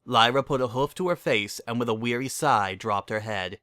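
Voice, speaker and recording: A man giving an expressive, animated dramatic reading as a story narrator. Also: mid-range voice, normal speed, no room sound, clean studio sound.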